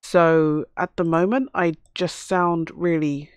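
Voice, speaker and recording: A woman with a hoarse voice from a cough, chatting casually as she plays a life-sim game and talks to her characters. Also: on the low side, steady tone, slow, dry recording, studio quality. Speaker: let's play host. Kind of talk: casual gameplay commentary.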